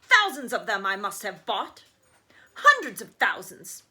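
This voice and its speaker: A woman voicing a boastful, indulgent rich father in a children's storybook, talking in loud, emphatic, folksy brags. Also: mid-range voice, huge pitch swings, unhurried, full character voice.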